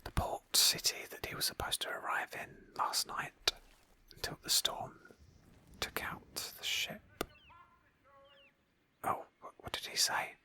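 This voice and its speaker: A woman whispering breathily in a hushed, thoughtful ASMR style as she narrates a roleplay game playthrough as its host. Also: a little slow, long pauses.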